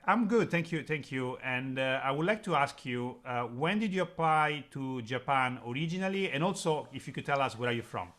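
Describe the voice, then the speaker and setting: A man's voice: medium pitch, lively intonation, fairly quick, few pauses, fairly bright tone. Man hosting an interview channel. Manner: friendly, hesitant with frequent uh fillers, non-native phrasing. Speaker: YouTube channel host, interviewer. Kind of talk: video call interview.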